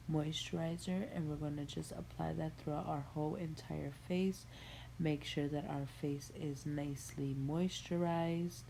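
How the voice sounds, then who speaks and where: Young female beauty vlogger, nasal from a cold, low-pitched and unhurried; casual tutorial patter at home. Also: vocal fry, slight background noise, poor audio. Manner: relaxed, chatty, addresses viewers as guys. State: stuffy nose from a cold. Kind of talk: makeup tutorial walkthrough. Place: home room with a Christmas tree.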